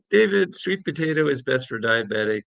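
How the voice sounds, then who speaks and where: A man co-hosting a casual livestream, chatting with viewers in a joking, animated way and often chuckling. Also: mid-range voice, normal speed, vocal fry, no room sound. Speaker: co-host of a livestream podcast.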